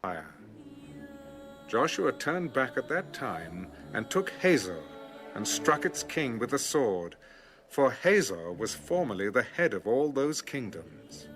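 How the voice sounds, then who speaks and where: Expressive male Bible narrator over music. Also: medium pitch, takes it slowly. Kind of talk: scripted dramatic Bible narration.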